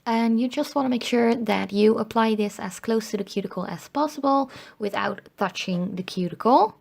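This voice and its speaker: A young woman from the Netherlands, slightly hoarse after being ill, chatting casually through a voice-over tutorial with lots of "um" and "like". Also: fairly high pitch, moderate pace, darkish tone. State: slightly hoarse, recovering from illness. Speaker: nail art video host.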